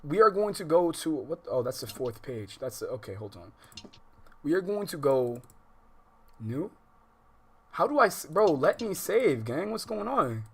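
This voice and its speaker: A man's voice: fairly high pitch, sing-song, measured pace, long pauses, slight background noise. An American man hosting a game livestream, switching between slangy, profane, exasperated chat and reading visual novel text aloud. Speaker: livestream host. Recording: music behind the voice.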